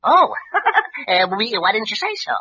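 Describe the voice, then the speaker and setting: A man's voice: very high voice, very animated, a little slow, bright, forceful tone, exaggerated voice. An actor playing a befuddled, eager sidekick who stutters and repeats his words in a 1940s radio comedy. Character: befuddled, eager, stammering dim-witted sidekick. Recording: noisy, dull vintage radio recording.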